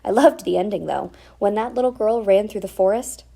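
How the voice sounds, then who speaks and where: Female gym teacher in a storybook, read by a woman: brisk, active, some vocal fry. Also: mid-range voice, warm tone.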